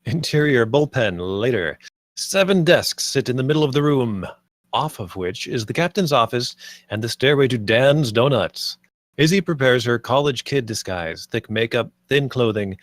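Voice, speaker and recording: A man's voice: medium pitch, animated, normal speed, no echo, studio quality. A man reading stage directions as the narrator of a script table read.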